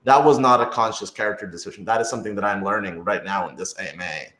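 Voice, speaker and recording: A roomy, echoing recording of a man with a fairly low voice in a casual question-and-answer chat. He talks informally, hedging his points and readily putting himself down. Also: fast, pushed and bright. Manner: informal, hedging, self-deprecating. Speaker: radio drama writer and director answering questions. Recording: roomy, echoing room sound.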